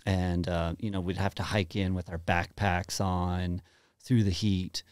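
Brief: young Texan guy, lowish voice, easygoing rambling podcast guest, dry studio mic. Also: unhurried, smooth, no room sound. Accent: light Texan. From Dallas, Texas. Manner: relaxed, rambling with many fillers. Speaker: guest musician. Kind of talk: casual podcast chat. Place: small treated podcast studio. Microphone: studio mic on boom arm, headphones.

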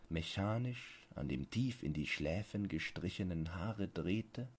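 Slow, low-voiced male audiobook narrator. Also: a little mellow. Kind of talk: literary scripted narration, bedtime audiobook.

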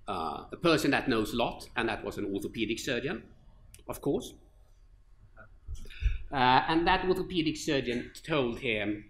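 A middle-aged man from Sweden with a Swedish accent, an orthopedic surgeon giving a conference lecture at a podium microphone, relaxed, with many fillers and restarts. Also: medium pitch. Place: large lecture hall with an audience. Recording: echoey lecture hall.

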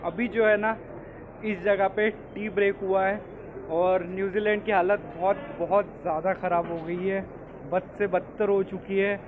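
A young man vlogging in excited casual from the stands of a cricket stadium, mixing in English phrases, with his phone held at arm's length. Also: high voice, a little slow. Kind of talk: casual vlog commentary. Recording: phone audio with loud crowd noise. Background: cheering crowd and music.

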